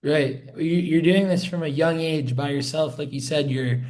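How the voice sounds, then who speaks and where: A man's voice: a little high, moderate pace, darkish tone, rough recording. A man hosting a podcast, asking questions in an upbeat, conversational way. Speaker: podcast host. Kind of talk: casual podcast interview.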